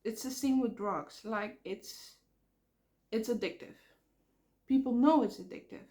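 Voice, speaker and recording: A woman vlogging outdoors by a road, talking frankly in a halting way while she comes down with a cold and her voice cracks. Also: on the high side, warm tone. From Netherlands. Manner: frank, halting, repeats words. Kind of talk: casual rambling vlog. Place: outdoors near traffic.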